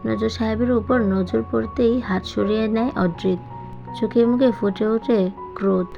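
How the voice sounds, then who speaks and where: A woman's voice: a little high, steady tone, moderate pace, creaky. A woman reading a novel aloud as a scripted, dramatic audio story narration. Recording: dull sound with music behind. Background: music.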